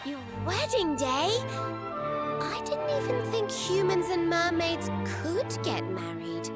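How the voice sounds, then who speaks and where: A woman's voice: extremely high pitch, big pitch swings, slow, exaggerated voice. A woman voicing a blunt, inquisitive young girl adventurer in a fantasy video game, forthright and cheeky. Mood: amazed, curious. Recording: loud game music behind the voice.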